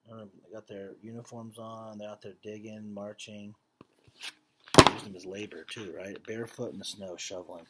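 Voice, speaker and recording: A man reading a picture book aloud like a teacher to students, with measured phrasing and casual explanatory asides. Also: on the low side, fairly even intonation, background noise.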